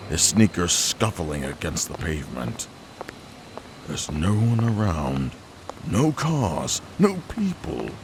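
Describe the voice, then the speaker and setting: A male narrator reads a dramatic scripted story. His fairly low voice moves slowly and swings extremely widely in pitch, with music clearly behind him.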